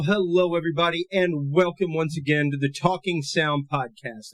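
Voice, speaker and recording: Male podcast host, big full voice a touch on the high side, dry close sound, easygoing explainer. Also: a bit bright, dry recording, low-quality recording. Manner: conversational, loose and explanatory. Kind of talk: casual educational podcast monologue.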